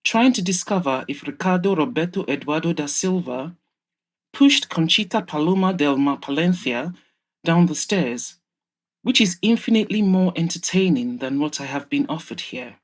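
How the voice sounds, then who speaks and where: Audio drama: rich, sharp-tongued mother of an adult son, acted by a woman, low register, emphatic and reproachful. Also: animated, normal speed. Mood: sarcastic, irritated. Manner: scolding, sarcastic, emphatic.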